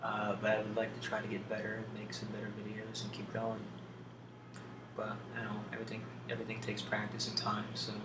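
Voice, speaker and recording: Low-pitched man doing ASMR, roomy noisy recording. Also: monotone. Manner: hesitant, thoughtful, halting with long pauses. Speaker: ASMR video host. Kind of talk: ASMR, unscripted question-and-answer. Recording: roomy, distant, clearly noisy home recording.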